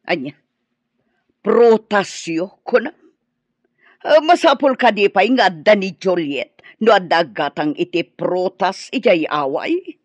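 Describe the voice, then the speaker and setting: Woman playing combative Ilocano parent, middle-aged, sarcastic, mid-pitched, radio drama, close dry recording. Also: expressive, slow, dry recording. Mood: challenging.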